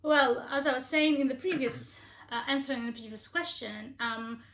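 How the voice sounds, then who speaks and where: A woman's voice: high voice, moderate pace, fairly bright tone. Woman chatting in an echoey room. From Algeria. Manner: hesitant, many fillers and restarts. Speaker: co-host being interviewed. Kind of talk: casual conversational chat.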